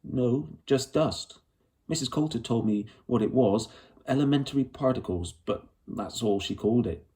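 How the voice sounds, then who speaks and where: A man's voice: mid-range voice, normal speed, mellow, close to an everyday voice. A man voicing a fierce, stubborn young girl in a children's storybook, earnest and eager, rambling breathlessly in ungrammatical colloquial speech. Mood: earnest, recalling.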